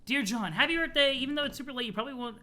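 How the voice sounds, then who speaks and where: Streamer playing a young girl, cheerful, earnest, chatty, raised bright voice, letter narration. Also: high voice, very fast, pitched above the performer's own voice. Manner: eager, chatty, sweet.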